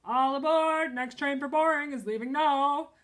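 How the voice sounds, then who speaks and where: A woman's voice: sits high, moderate pace, fairly bright tone, voice pushed up. Little girl of seven played by a woman, bored, stubborn, whiny, raised exclaiming voice, storybook reading. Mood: mocking.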